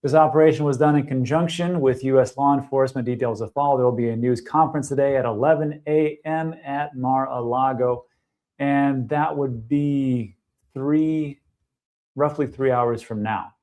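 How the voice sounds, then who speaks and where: A man's voice: mid-range voice, steady tone, moderate pace, darkish tone. A man hosting a live stream about crypto markets, talking casually and thinking aloud with fillers.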